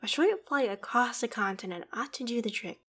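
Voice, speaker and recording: A woman's voice: a little high, lively intonation, brisk, a bit bright. A woman playing a proud, ancient female dragon in an audio roleplay, speaking in formal, archaic phrases with a haughty, theatrical manner that still holds fondness for humans. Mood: haughty, offended. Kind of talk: scripted audio roleplay monologue.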